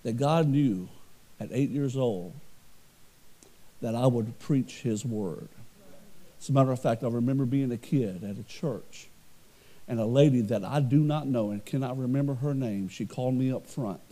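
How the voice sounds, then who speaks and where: Middle-aged male pastor, loud carrying voice, mellow tone, earnest and conversational from the pulpit. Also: mid-range voice, moderate pace, rough recording. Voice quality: loud voice that carries. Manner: earnest, conversational preaching with repeated restarts. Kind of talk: sermon.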